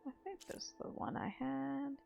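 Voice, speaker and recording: A woman's voice: sits high, normal speed, gravelly, darkish tone, slight background noise. A woman giving rambling, casual commentary while playing a video game. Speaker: gameplay streamer. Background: game music.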